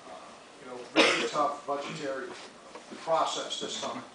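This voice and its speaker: A man serving as mayor gives measured, explanatory remarks at a public meeting. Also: mid-range voice, steady tone. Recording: very echoey room, distant mic, noisy.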